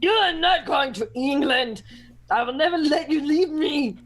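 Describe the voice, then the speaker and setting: Melodramatic, very high-pitched jealous lover. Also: female voice, expressive. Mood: possessive. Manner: melodramatic, throaty put-on voice, drawn-out lines. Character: jealous, violent, melodramatic young woman of the Casbah. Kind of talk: comic amateur radio play.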